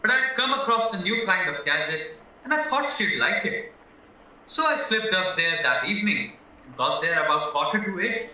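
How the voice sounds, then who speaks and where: A man's voice: sits high, expressive, moderate pace, sharp tone, exaggerated voice. A man acting in an audio play as a naive, anxious young husband accused of murder, earnest and rambling as he protests. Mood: earnest, chatty. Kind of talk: stage-style audio drama acting. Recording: very echoey, muffled, distant, music underneath.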